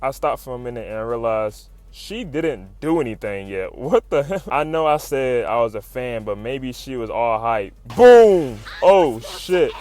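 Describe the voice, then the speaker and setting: A man giving a casual, excited recap as a video host, full of slang, swearing and animated exclamations. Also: mid-range voice, a little slow, few pauses. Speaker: YouTube host recapping comics.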